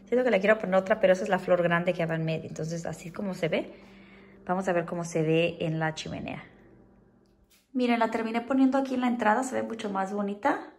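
A woman's voice: medium pitch, fast talker, a little mellow, slight background noise. A woman chatting casually at home as she shows her home decorating, using lots of diminutives and fillers. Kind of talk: casual how-to chat. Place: at home indoors.

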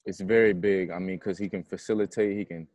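A young man with a fairly low voice speaks as a college athlete after a game. He answers in an informal, plain-spoken way, talking into an earphone mic on a video call. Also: steady tone, fairly quick, a little mellow, no room sound. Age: young adult. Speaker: college basketball player. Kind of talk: post-game press conference answers. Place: indoor basketball arena, courtside. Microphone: earphone headset on a video call.

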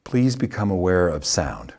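Deep, husky man guiding meditation. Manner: calm, unhurried, spare phrases with long pauses. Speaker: yoga nidra meditation teacher. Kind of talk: slow guided meditation.

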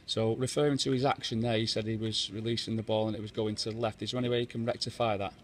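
A man's voice: on the low side, little pitch movement, rapid-fire, a little hiss or hum. A man coaching students, asking questions and summing up their answers, often saying "okay". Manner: prompting, repeats "okay". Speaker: coach leading the assessment. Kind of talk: questioning and summarizing feedback.

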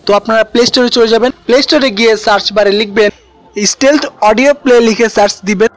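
A man's voice: extremely high pitch, steady tone, fast talker, no echo, rough recording. A man narrating an instructional app tutorial as its host. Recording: voice-over with music behind.

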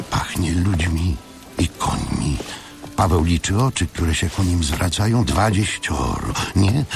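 A man narrates literary fiction with a very slow, deliberate delivery. His voice is creaky and swings widely in pitch as he breaks the story into short, dramatic phrases. Music plays behind him. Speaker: audiobook narrator.

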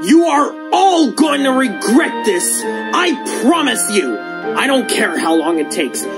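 A woman's voice: a little high, big pitch swings, slow pace, music in the background, heavily acted. A woman voicing a spiteful, hot-tempered villain steam engine in a cartoon, sneering and threatening. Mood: vengeful.

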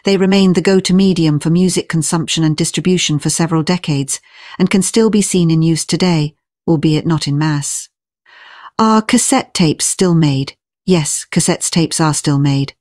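A woman delivers scripted, informational narration in a slightly low voice. The recording is dry and close, with very little room sound around her words. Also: normal speed, a little mellow, no echo.

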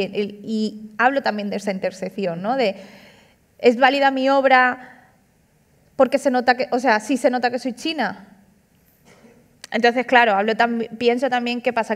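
A woman in her thirties, an author, speaking casually and animatedly at an onstage book talk, tossing in frequent tag questions. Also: fairly high pitch. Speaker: author and comic artist. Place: large auditorium stage. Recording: long hall reverb.

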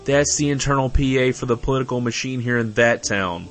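A man's voice: mid-range voice, a little fast, faint music behind, lightly acted. A man acting a sarcastic, exasperated radio station colleague in an audio comedy, with a snippy way of talking. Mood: wary.

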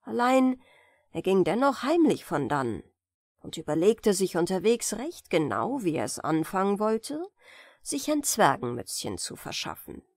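A woman narrating a bedtime fairy tale in an expressive, deliberate storytelling manner. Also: medium pitch, no room sound, studio quality.

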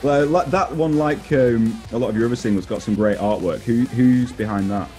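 A man's voice: medium pitch, moderate pace, a little mellow, faint music behind. A young man interviewing in a casual, chatty way over a video-call headset. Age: young adult.

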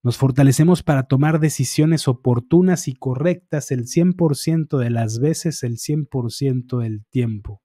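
A middle-aged man leading a guided affirmation session, reciting long repetitive lists in a calm, measured way into a close studio microphone. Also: mid-range voice, dry recording. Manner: eyes often closed, fingertip to temple, concentrating. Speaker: energy healing practitioner. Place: small dark room, indoors. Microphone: studio microphone on boom arm, close.

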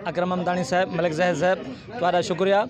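An older man giving formal interview remarks in a halting way, searching for words. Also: high-pitched, flat delivery. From Pakistan. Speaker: party member being interviewed. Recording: very noisy event, handheld microphone.

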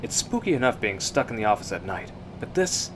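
A man narrating a suspense radio drama in the second person, with measured, hushed storytelling. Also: on the low side, big pitch swings, background noise.

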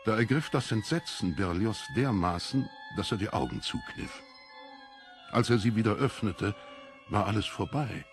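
A man narrating a literary audiobook in a deliberate, expressive, dramatic storytelling manner. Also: on the low side, soft background music.

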